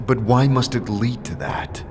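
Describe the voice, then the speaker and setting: A man's voice: mid-range voice, fairly even intonation, unhurried, background noise, lightly acted. A man voicing an immortal male wanderer who talks earnestly and asks pointed questions.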